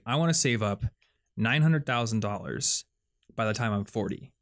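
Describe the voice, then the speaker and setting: A young man, hosting a casual podcast chat and explaining ideas with plenty of fillers. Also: on the low side. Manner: chatty, explains things with lots of "like" and "um". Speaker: podcast host.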